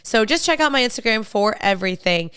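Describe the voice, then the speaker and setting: A young woman chats through her podcast in a friendly, upbeat way, speaking right to her listeners. A lingering cold leaves her slightly nasal and congested. Her voice stays very smooth and clear, recorded close and dry with no room sound. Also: on the high side, lively intonation, fairly quick, a bit bright, no room sound. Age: young adult. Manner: chatty and upbeat, addresses listeners as "you guys". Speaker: podcast host. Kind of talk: casual solo podcast chat.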